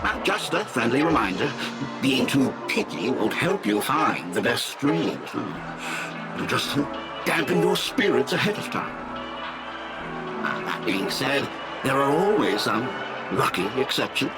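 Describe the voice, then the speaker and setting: A man's voice: medium pitch, sing-song, a little slow, low-quality recording. An actor playing a slick, theatrical dream salesman in a video game, full of eager, showy patter. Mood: showy, persuasive. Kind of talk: video game character acting. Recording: loud music behind the voice.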